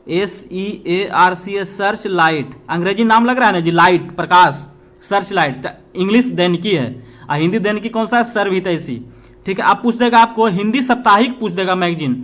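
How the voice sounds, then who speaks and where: High-pitched man teaching informally. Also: normal speed, a bit bright. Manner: repetitive, asks questions then answers them, chatty check-ins with listeners. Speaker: exam-prep teacher. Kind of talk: informal lecture, quick question-and-answer revision.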